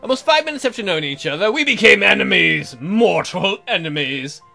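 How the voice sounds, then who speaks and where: A man's voice: sits high, animated, a little fast, creaky, sharp tone, soft background music. A man hosting a let's play, reading a visual novel aloud in a jokey, theatrical, exaggerated way with comic asides.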